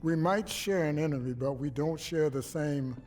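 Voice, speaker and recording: Man playing a higher-voiced, measured rebel leader. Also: a little mellow, faint music behind, lightly acted. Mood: grave, measured. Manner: deliberate, formal, articulate. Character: shrewd, measured leader of an outlaw resistance crew. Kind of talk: cinematic video game acting.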